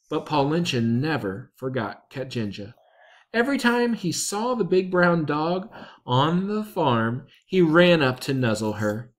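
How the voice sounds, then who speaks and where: Storyteller with lively, swooping pitch. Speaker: story reader. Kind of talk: children's picture book read aloud.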